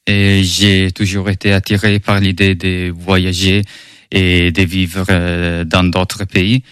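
A man whose first language is Italian, with an Italian accent, answering interview questions in French as an intern at an EU agency, measured and full of hesitation sounds. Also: low-pitched, flat delivery, fairly bright tone, clear, resonant tone, no room sound, clean studio sound. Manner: measured, with frequent hesitation fillers.

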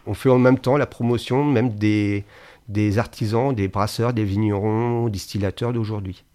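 Hesitant French man, lowish voice. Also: steady tone, moderate pace, warm tone. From France, Angers. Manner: hesitant, many fillers and restarts. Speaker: museum founder, association member. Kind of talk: radio interview, spontaneous speech.

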